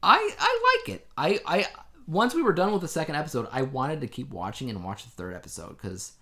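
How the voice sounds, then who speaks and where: A man's voice: on the high side, big pitch swings, fairly quick, sharp tone. A man co-hosting a podcast in casual chat, telling things in a conversational way with emphatic asides. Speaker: podcast co-host. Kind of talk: casual chat reviewing a TV show.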